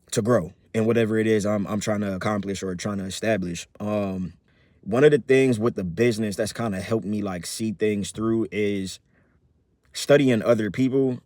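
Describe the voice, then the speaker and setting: A man in his thirties giving a casual personal update as a vlogger, rambling with many fillers and restarts in a relaxed, upbeat way. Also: on the low side, dry recording, studio quality. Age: 30s.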